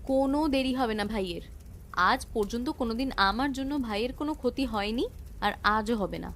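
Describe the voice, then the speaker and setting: A woman's voice: fairly high pitch, fast talker, fairly bright tone, a little hiss or hum. A woman playing a doting, firm housewife and sister-in-law in a cartoon story. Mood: irritated, firm. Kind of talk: cartoon moral-story dialogue.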